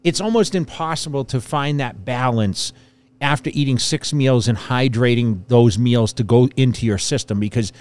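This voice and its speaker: A man hosts a casual podcast in a middle-pitched voice. He explains at length and leans hard on his points. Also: moderate pace, a little mellow. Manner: emphatic, rambling explanations. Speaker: podcast host.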